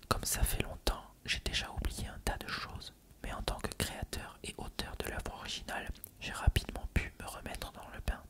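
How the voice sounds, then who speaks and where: An ASMR narrator reads an encyclopedia-style article entirely in an unvoiced whisper.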